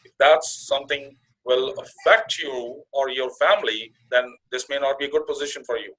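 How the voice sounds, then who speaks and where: Halting man giving an informal walkthrough. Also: medium pitch, fairly even intonation, a bit bright, low-quality recording. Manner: halting, often repeats and restarts phrases. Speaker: career coach presenting to conference call members.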